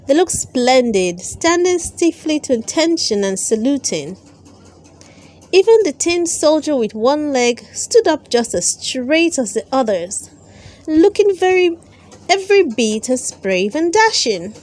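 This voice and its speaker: Slow, animated woman reading a storybook. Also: high-pitched. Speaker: storyteller, channel host. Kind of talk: children's read-aloud storybook narration.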